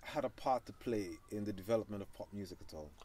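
A man interviewing a musician, asking measured, polite questions in a studio. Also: on the low side, darkish tone, a little hiss or hum.

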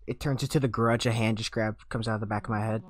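Slightly raspy young gamer guy. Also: on the low side, fast, no echo. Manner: goofy, jokey and excitable. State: sick and a little raspy. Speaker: gaming YouTuber. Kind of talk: casual let's-play commentary.

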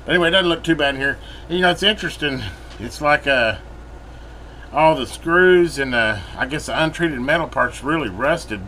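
A middle-aged American man giving casual, rambling repair commentary, thinking aloud in a folksy way. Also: lowish voice. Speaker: repair channel host. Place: indoor workbench. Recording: steady room hum, air-conditioner-like noise.

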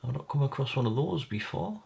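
A man's voice: medium pitch, lively intonation, brisk, gravelly, a little mellow. A man hosting a repair video, casually narrating his hands-on work at a bench, thinking aloud in a tentative way. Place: indoor workbench.

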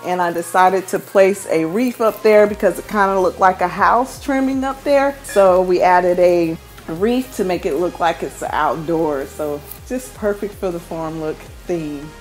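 A woman's voice: on the low side, measured pace, soft background music. Upbeat woman giving a home tour. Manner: upbeat, gushing over decor. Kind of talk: casual home tour narration. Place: inside a house, walking room to room.

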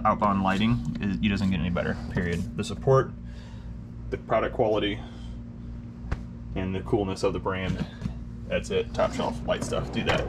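A man with a fairly low voice talks his way through his basement workshop in a relaxed, rambling way, full of ums and little restarts. He is recorded on the camera's own mic, and clear room noise sits under his voice. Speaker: vlogger giving a shop tour. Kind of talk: casual walkthrough narration. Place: home basement workshop, indoors. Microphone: camera-mounted mic, speaker off-screen holding camera.

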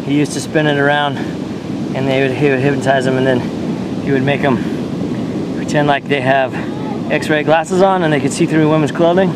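A man on a rocky beach telling made-up stories in a deadpan way to a handheld camera. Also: on the high side, moderate pace. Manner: deadpan, tall tales told straight. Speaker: vlogger. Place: rocky beach outdoors by the surf. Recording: loud surf noise outdoors. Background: loud ocean waves.

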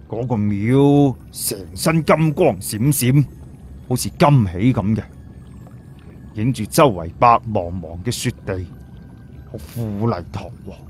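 A man's voice: on the low side, a little mellow, slight background noise. A man with a Cantonese accent voicing an elderly village chief, earnest, proud and plain-spoken as he reminisces.

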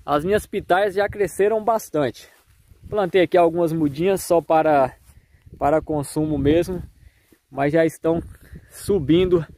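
Brazilian man, higher-pitched, narrating a farm tour. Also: a little slow, darkish tone. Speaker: farmer and video host. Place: outdoors on a rural farm. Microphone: handheld phone.

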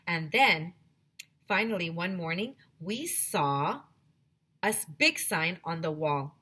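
Husky-voiced teacher reading aloud. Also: female voice, on the low side, slow. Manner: animated storytelling, switching voices for characters. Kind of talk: reading a chapter book aloud to students. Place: small home room decorated as a classroom. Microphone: headset microphone, webcam video.

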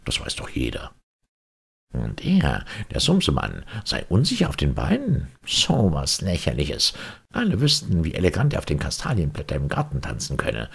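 A man reading a children's fairy tale as an audiobook narrator, in a theatrical, expressive way. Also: extremely low pitch, moderate pace, gravelly, slightly rough. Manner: theatrical, dramatic storytelling.

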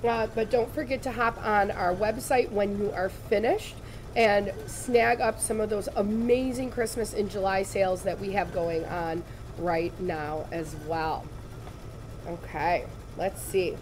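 A woman, slightly raspy from laryngitis, giving a chatty, upbeat live sewing tutorial in a studio. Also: medium pitch, steady tone, a little slow, a little mellow, a little hiss or hum, poor audio. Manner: chatty, upbeat, addresses viewers directly. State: recovering from lost voice. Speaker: embroidery demonstrator. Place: studio set with shelves, indoors.

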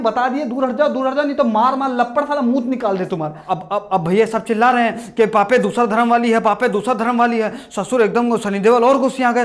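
Young guy w/ up doing standup, high voice, yelling at movie characters, lapel mic in a small room. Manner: rustic storyteller, shouts at the screen, acts out every character. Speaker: stand-up comedian. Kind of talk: comic movie retelling. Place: small indoor room with posters. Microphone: lapel mic, camera at mid distance.

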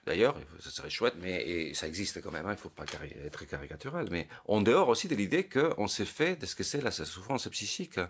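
Late fifties guy, low voice, talks slow and stumbly, keeps repeating little words while he thinks. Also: sing-song, breathy edge. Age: 50s. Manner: hesitant, repeats small words while searching. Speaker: psychologist, guest. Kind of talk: reflective interview talk.

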